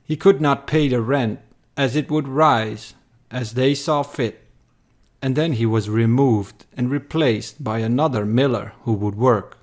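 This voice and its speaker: Male narrator for dramatic verse: unhurried, solemn, medium-pitched storytelling. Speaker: narrator, poem reader. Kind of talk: scripted dramatic poetry narration.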